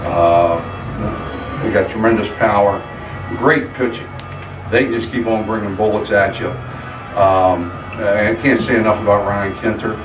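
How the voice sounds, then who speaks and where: A middle-aged man, a head baseball coach, answering at a post-game press conference, halting with many fillers and restarts. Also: fairly low pitch, fairly even intonation, music in the background. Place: press conference room with backdrop, indoors. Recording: echoey room, distant mic, background noise.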